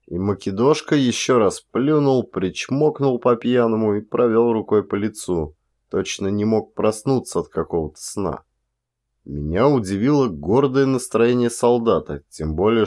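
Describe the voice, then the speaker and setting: A man reading literary prose as an actor, in expressive scripted narration. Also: on the low side.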